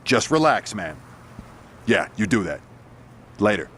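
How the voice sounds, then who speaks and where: A hot-tempered street gang leader, blunt and swearing freely. His voice sits a little low but swings widely in pitch. Faint background hiss under him. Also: sing-song, a little slow, a bit bright. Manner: blunt, profane, short-fused. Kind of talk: video game cutscene acting.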